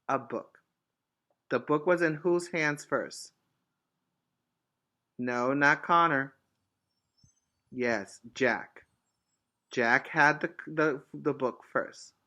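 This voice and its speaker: A man teaching preschool, reading a storybook aloud expressively and emphatically, pausing to put questions to young children. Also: medium pitch, bright, forceful tone.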